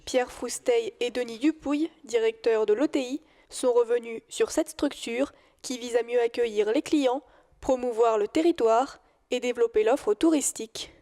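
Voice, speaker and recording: A woman's voice: on the high side, normal speed, dark tone. A woman reading scripted news narration as a reporter.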